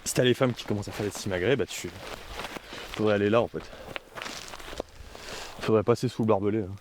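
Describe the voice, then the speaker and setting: Young man, deep-ish, quick deadpan delivery; noisy outdoor recording with traffic. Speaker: self-styled ghost hunter in a sketch. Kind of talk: deadpan improvised comedy chat.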